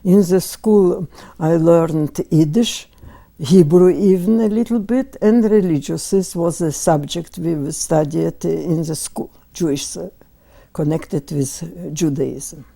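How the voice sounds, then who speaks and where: Interview subject, woman in her 80s, Lithuanian accent, halting fillers, very dark tone. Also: fairly low pitch, creaky. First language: Lithuanian and Russian. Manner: slow and hesitant, many pauses and fillers. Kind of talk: oral history interview. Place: museum interview room.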